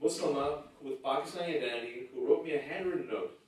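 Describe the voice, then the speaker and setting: A man's voice: a little high, quick pace. A man from California whose first language was Urdu, giving a humorous, self-deprecating personal speech at a campus event. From California, United States. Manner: joking, self-deprecating storyteller. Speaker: guest speaker at a campus event. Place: large lecture hall. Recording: echoey lecture hall, distant mic.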